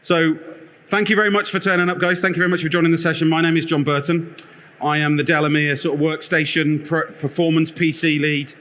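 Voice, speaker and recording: Loud, bright male presenter, energetic and self-deprecating, live conference talk. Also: fairly high pitch, brisk. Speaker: conference speaker, product lead. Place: conference theatre.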